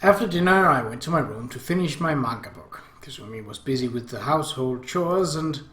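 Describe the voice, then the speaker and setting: A man narrating a visual novel aloud as an expressive, theatrical first-person story reading, in an echoey room. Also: medium pitch, a little fast. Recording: roomy, echoey home recording.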